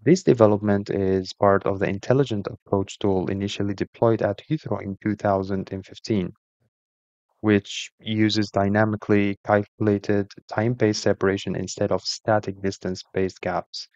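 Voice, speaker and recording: A man with a lowish voice narrates an explainer as a presenter. His delivery is formal and measured, clearly working from a script. The recording is very dry and close, with a somewhat dull, lo-fi tone. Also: level tone, darkish tone, dry recording.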